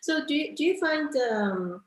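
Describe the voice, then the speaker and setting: High, lively woman interviewing in an echoey room. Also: moderate pace. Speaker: interviewer, travel vlogger. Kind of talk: casual interview chat.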